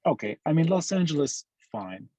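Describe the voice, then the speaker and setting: A man from Lebanon speaking as a guest on a podcast interview, making emphatic, measured points. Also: on the high side, very animated, a crowd in the background. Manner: measured, emphatic analytical speech. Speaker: guest commentator.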